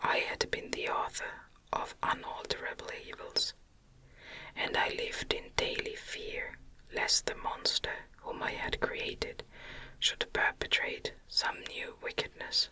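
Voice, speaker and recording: A woman reads a classic novel entirely in a breathy whisper. Her delivery is very slow and gentle, made to soothe. The whisper is crisp and very bright, in the manner of an ASMR bedtime reading. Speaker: audiobook reader.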